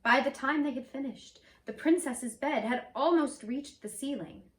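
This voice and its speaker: A woman in costume reading a fairy tale aloud in a gentle, expressive storytelling voice. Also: high voice, moderate pace. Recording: roomy echo, mic at a distance.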